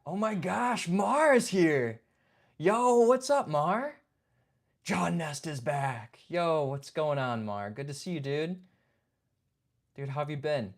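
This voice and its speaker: A man's voice: a little high, expressive, slow. Laid-back young man hosting a livestream. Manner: laid-back, chatty, says "man" and "dude"; reads chat and greets viewers by name. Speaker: livestream host. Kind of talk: casual livestream chat.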